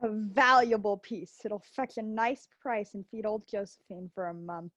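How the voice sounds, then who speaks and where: A woman's voice: mid-range voice, steady tone, moderate pace, fairly bright tone, dry recording, muffled recording, natural voice. A young woman playing a sly, greedy old pawnbroker in a radio play, nosy and relishing every item. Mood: appraising. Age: young adult. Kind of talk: theatrical radio play acting.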